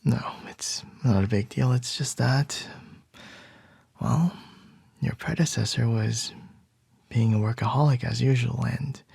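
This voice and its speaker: Guy doing a soft asmr ceo boss bf, super breathy n whispery, slow, wry, really close dry sound. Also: mid-range voice, no room sound, exaggerated voice. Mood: exasperated. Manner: unhurried, murmured, gently wry. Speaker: ASMR roleplay voice actor. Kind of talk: ASMR boyfriend roleplay acting.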